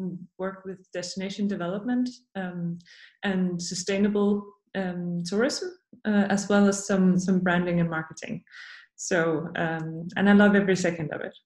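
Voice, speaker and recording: A woman from Denmark, a podcast guest, speaking thoughtfully with many pauses and fillers. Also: fairly low pitch, steady tone, darkish tone, echoey room. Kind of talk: podcast interview.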